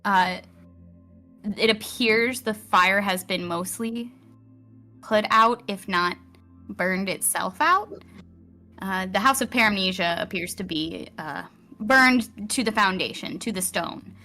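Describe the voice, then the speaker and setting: A woman game master with a bright, forceful voice, telling a fantasy tale in theatrical storyteller phrases. She addresses her players as she goes, over quiet background music. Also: mid-range voice, slow pace. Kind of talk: narrating a live tabletop roleplaying game.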